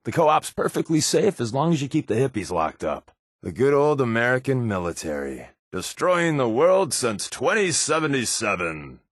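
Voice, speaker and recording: A man's voice: medium pitch, sing-song, normal speed, dry recording, clean studio sound. A man playing a wry, laid-back spy companion in a post-apocalyptic video game, given to sardonic asides. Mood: wry, casual.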